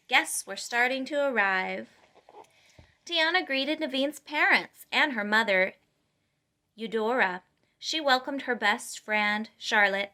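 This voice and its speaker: A woman reads a children's storybook aloud. Her voice is bright and forward, sitting a little high, and she delivers the narration with clear emphasis and expression. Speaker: storytime reader.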